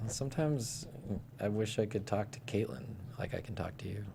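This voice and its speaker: A man's voice: lowish voice, moderate pace, vocal fry, dark tone, slight background noise. An actor playing a joking, sarcastic married man in a script table read. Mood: earnest. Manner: sarcastic, jokey, laughs often.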